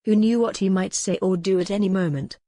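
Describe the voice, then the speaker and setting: A woman narrating a historical romance audiobook in a measured, careful storytelling manner. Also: medium pitch, fairly even intonation, mellow, no room sound, studio quality.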